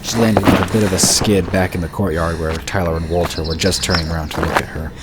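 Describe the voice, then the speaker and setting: A man narrating a fantasy story in a steady, measured storytelling voice. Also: deep voice, heavy background noise. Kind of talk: scripted audiobook narration.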